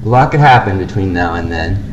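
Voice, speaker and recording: A man's voice: sits low, animated, a little fast, lo-fi sound, a little hiss or hum. A man co-hosting a fan podcast in casual, easygoing conversation. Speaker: podcast co-host.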